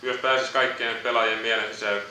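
A basketball player answering postgame questions, hesitant and repeating words. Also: male voice, mid-range voice, little pitch movement, bright, forceful tone, slight background noise. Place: echoey press room. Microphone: distant room mic. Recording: echoey room audio from far off.

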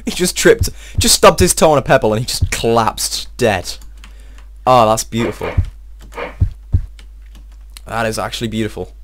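A young man giving casual, excitable let's-play commentary, swearing and talking to himself, his voice a little strained. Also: mid-range voice, normal speed, long pauses, slight background noise. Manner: excitable, swears often, talks to himself while playing. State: slightly strained voice. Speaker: gameplay video host.

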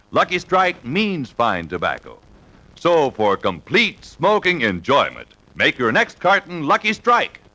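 A man's voice: mid-range voice, pushed and bright. A man with an American accent doing a 1950s radio commercial, emphatic and polished like a salesman, on a vintage broadcast recording. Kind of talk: 1950s radio advertising pitch.

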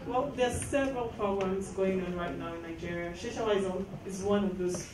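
A woman describing mentoring programs in a measured way. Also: medium pitch. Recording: very echoey room, noisy, distant mic.

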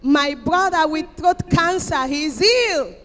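A woman's voice: high-pitched, lively intonation, measured pace, very noisy. A middle-aged woman from Equatorial Guinea giving an emotional testimony to a congregation, declaiming emphatically and repeating phrases into a handheld mic. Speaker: church member giving testimony. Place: church auditorium. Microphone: handheld microphone. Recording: echoey hall PA with music behind.

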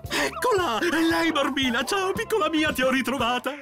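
A man voicing a fussy, excitable show director in a cartoon dub, with a melodramatic, exclamatory delivery. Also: very high-pitched, normal speed, over music. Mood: overjoyed.

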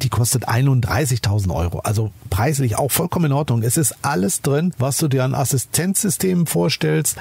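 A man's voice: medium pitch, gravelly, a little mellow, husky, no echo. Enthusiastic German man reviewing a car. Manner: enthusiastic, chatty, addresses listener directly. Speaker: car podcast host. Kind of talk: casual car review monologue.

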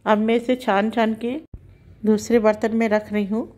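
A woman, the host of a cooking channel, calmly narrating each step of a recipe as she does it. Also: medium pitch, normal speed.

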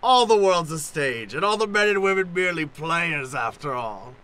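Bright-voiced, theatrical eccentric professor played by a man. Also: a little high, expressive, normal speed. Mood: philosophical, theatrical. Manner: theatrical, grandiloquent. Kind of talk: improvised old-time radio play acting.

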